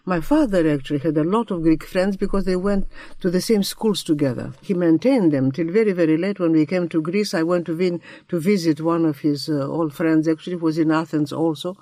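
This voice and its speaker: A woman of around ninety, recalling her past in a halting way, often repeating "yeah". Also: sits low, warm tone, no room sound. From Romania. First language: Romanian. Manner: halting, many repeated yeahs. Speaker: interviewed poet. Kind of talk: reflective conversation.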